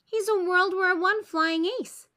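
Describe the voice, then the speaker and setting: A mild, hapless young boy in a children's storybook, acted by a woman reader. He talks in simple, plain exclamations. The pitch is high. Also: fairly even intonation, takes it slowly, pushed and bright, heavily acted. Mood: explaining.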